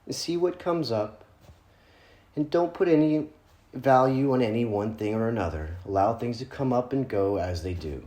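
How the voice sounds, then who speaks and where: A man with a dark, relaxed voice leads a guided meditation in a roomy, echoing space. He gives gentle instructions in short, spaced phrases, and his pitch rises and falls freely as he goes. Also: moderate pace. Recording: roomy, echoing room sound.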